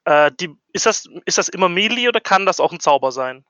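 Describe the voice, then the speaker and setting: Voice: mid-range voice, expressive, brisk, a bit bright, dry recording, lo-fi sound. A player in a tabletop game podcast bantering casually in a cheeky, slangy way.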